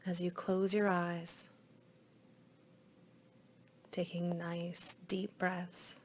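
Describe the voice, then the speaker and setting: A woman leading a guided meditation in a soothing, hushed voice, with phrases often trailing into breath. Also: fairly low pitch, flat delivery, very slow.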